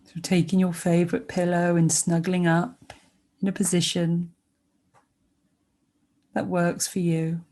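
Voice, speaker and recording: A woman guiding a sleep meditation with soothing narration, speaking gently and unhurriedly with pauses between short phrases. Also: fairly low pitch, flat delivery. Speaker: meditation guide.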